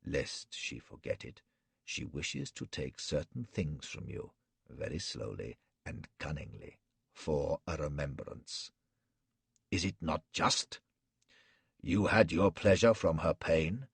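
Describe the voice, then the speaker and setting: Guy reading as a cruel warlord, super deep gravelly, slow gloating threats. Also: no room sound, heavily acted. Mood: menacing. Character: menacing cruel male warlord, cold and mocking. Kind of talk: audiobook character reading.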